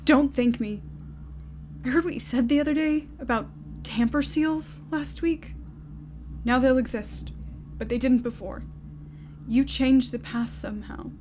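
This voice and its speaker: A woman's voice: high voice, a little slow, long pauses, darkish tone, slight background noise. A woman acting in an audio drama as a resolute, bitter young woman, blunt and commanding. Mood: angry, resolute.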